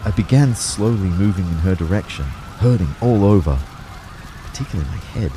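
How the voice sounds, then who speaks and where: A man's voice: low-pitched, animated, fairly quick, background noise. A man acting a dazed young man who narrates a disaster in the first person, as dramatic scripted storytelling. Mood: tense, reflective.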